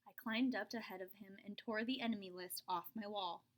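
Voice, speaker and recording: A woman reading a children's picture book aloud, expressive, dropping to whispers for suspense and pitching up for the child's lines. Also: fairly quick. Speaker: storybook reader.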